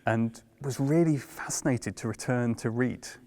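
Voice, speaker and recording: A British man with a gravelly, creaky voice recounts anecdotes in a measured, friendly conversation. His pitch sits in the middle range. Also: a little mellow. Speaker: producer and director. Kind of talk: casual conversation.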